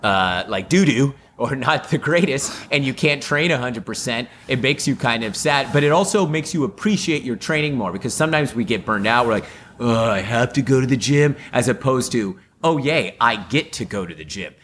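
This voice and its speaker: A man's voice: medium pitch, expressive, normal speed, a bit bright, slight background noise. A man co-hosting a podcast in casual chat, upbeat and rambling with lots of fillers, his voice still a little off after a sore throat. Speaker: podcast co-host.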